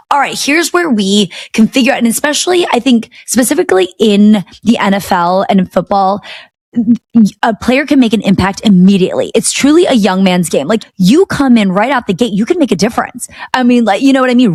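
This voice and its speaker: A woman in her thirties in a casual chat as a podcast guest, excitable and full of restarts and fillers. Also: on the high side, no room sound, clean studio sound.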